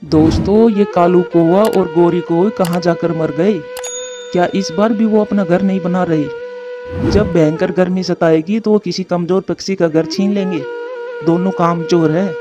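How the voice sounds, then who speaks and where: An indignant, hardworking male bird acted by a man speaks in a high-pitched voice. The cartoon audio is dull, with clear music underneath. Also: fairly even intonation, moderate pace, lightly acted. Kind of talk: Hindi cartoon dialogue.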